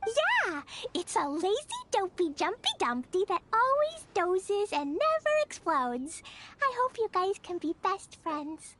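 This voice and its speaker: Tiny, very high-pitched little girl. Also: measured pace, slight background noise, full character voice. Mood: sweet. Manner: childlike, excitable, earnest. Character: excitable sweet little girl. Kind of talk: video game voice acting.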